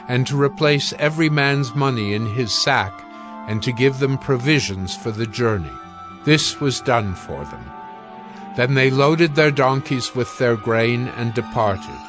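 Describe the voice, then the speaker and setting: A man narrating scripture in an even, measured way, over faint music. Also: medium pitch.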